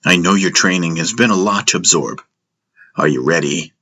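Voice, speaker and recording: Man playing seasoned spy mentor, low forceful voice, blunt, teasing, commanding, audio drama over music. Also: moderate pace. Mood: businesslike.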